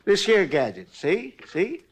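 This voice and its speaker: A rambling folksy hotel clerk. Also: male voice, high-pitched, huge pitch swings, measured pace, slight background noise, heavily acted. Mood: chatty. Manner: rambling, chatty, rustic grammar. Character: folksy talkative night hotel clerk, man. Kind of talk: 1950s radio drama acting.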